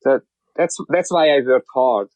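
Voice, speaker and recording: A man from Hungary speaking English with a Hungarian accent as a podcast guest, talking in broken, halting sentences with many repeated words and restarts. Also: mid-range voice, darkish tone, no room sound. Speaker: guest, car wrap shop owner. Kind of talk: casual interview.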